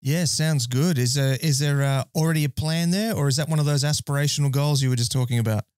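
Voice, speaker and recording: A man's voice: fairly high pitch, quick pace, a little mellow, smooth, no room sound, clean studio sound. A man hosting a podcast interview, agreeable and casual, with a few fillers. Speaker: podcast host.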